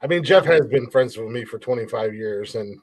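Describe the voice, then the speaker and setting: A man's voice: medium pitch, lively intonation, fairly quick, a crowd in the background. A man with an American accent hosting a casual chat show, blunt and given to swearing. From United States. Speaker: podcast host.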